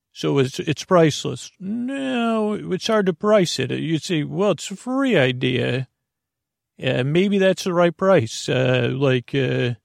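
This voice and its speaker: A man with a creaky voice hosts a bedtime podcast, rambling in a halting, meandering, self-deprecating way full of tangents. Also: medium pitch, no echo, clean studio sound. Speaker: podcast host.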